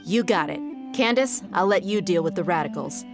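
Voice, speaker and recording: A woman's voice: fairly low pitch, animated, moderate pace, creaky. A woman voicing a resolute, businesslike adventurer in a video game, decisive and earnest. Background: guitar music.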